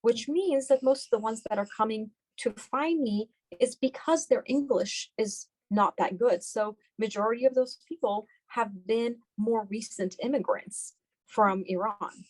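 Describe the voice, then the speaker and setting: A woman's voice: a little high, measured pace, a little mellow, dry recording, poor audio. A middle-aged woman giving conversational, explanatory interview answers as a doctor, often repeating phrases for emphasis.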